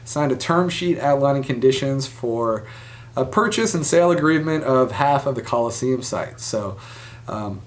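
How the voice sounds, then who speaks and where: A man hosting a sports show, giving casual, conversational commentary with speculative asides. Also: mid-range voice. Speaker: sports podcast host.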